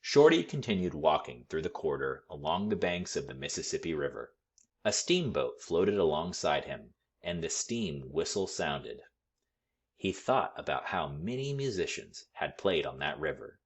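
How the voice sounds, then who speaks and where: A man reading a children's story aloud with expressive, deliberate, dramatic phrasing. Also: a bass voice. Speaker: storybook read-aloud reader.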